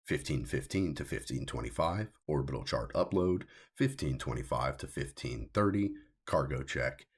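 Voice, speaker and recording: A man reading his own science fiction story as scripted audiobook narration, in a measured, deliberate way with a gravelly, husky voice. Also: a bass voice, animated.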